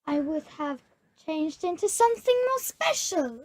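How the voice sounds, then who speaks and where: Woman playing a high-pitched gracious vampire countess. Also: very animated, measured pace, dry recording. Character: glamorous vampire countess, gracious, hungry lady of the house.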